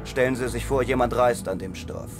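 A cool, condescending officer, voiced by a man, steering a secret operation with clipped orders. His voice sits at an ordinary male pitch. A loud music score runs under this audio drama. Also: fairly quick, lightly acted. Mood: commanding. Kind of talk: radio drama acting.

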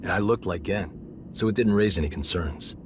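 A man's voice: low-pitched, a little fast, noisy background, natural voice. A man voicing a sheepish, easily flustered male detective in a video game. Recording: game audio with background music.

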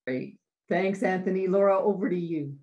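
Slightly low-voiced woman moderating a panel. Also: dark tone, lo-fi sound. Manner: brisk, polite hand-offs. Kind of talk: moderating a video-call panel. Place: home office. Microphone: video call.

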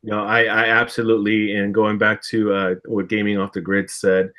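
A man in his forties reminiscing in a measured, casual way as a guest on a video call from a room of collectibles. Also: on the low side, monotone, bright, forceful tone. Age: 40s. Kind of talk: casual discussion.

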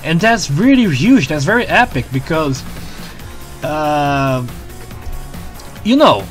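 Excitable man with a Brazilian accent. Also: fairly high pitch, slow, soft background music. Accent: Brazilian Portuguese. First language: Portuguese. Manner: excitable, repeats words, adds "né?" tags. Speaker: show reviewer. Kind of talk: casual review commentary.

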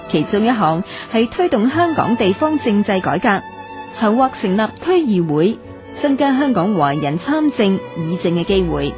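A woman giving a measured, formal scripted narration of history for a radio documentary. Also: medium pitch. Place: radio studio. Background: background music.

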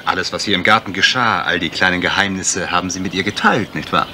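An actor playing an urbane, teasing gentleman sleuth in a German radio crime drama, dry, ironic and probing. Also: deep voice, fast talker, background noise, close to an everyday voice. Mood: coaxing.

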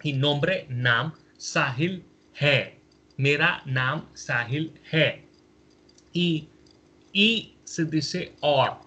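Indian-accented man, native Hindi speaker, patient teacher; very slow, drawn-out delivery, slightly husky. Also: a little high, sharp tone. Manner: repeats each phrase patiently, drawing out words. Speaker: language teacher. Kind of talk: slow spoken language lesson. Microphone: voice-over over slides.

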